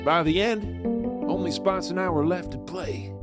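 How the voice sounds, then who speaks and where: A man's voice: high voice, normal speed, close to an everyday voice. A man playing a sly, cocky Old West outlaw who narrates his own tale in a folksy, teasing, conversational way. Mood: sly, confident. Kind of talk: first-person storytelling narration in audio drama. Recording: loud music under the voice.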